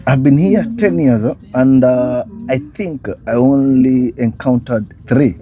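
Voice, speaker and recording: A man's voice: mid-range voice, unhurried, warm tone. A man from Kenya, hosting a casual chat, talking in a loose, hesitant way with many fillers. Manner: chatty, hesitant, many uh and yeah. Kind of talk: casual street conversation. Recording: crowd noise behind.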